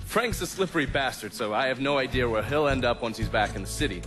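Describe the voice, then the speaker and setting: An actor playing a world-weary, cynical intelligence agent, sardonic and profane, in a video game cutscene. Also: male voice, mid-range voice, lively intonation, quick pace, over music, natural voice. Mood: dry, sardonic.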